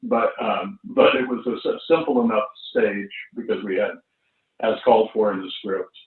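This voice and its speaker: A man's voice: on the low side, moderate pace, narrow, tinny audio. A man casually recalling a theatre production, recorded thin and distant in a roomy space. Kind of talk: casual recollection.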